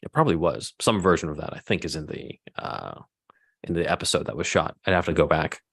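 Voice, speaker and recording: Low-voiced man in casual discussion. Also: animated, brisk, gravelly, fairly bright tone, husky. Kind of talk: casual group discussion of a script.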